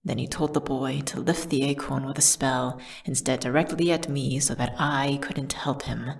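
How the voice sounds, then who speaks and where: Casting: female actor as condemned witch for a fantasy ASMR drama, low register, breathy and measured, solemn, tragic storyteller. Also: steady tone. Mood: tense, regretful. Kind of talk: dramatic first-person ASMR audio storytelling.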